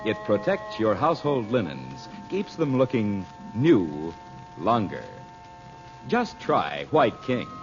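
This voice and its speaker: Old timey radio announcer guy, very animated pitch, emphatic n polished, muffled sound w loud music. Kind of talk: 1940s-style radio announcing, scripted narration. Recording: muffled vintage radio broadcast recording.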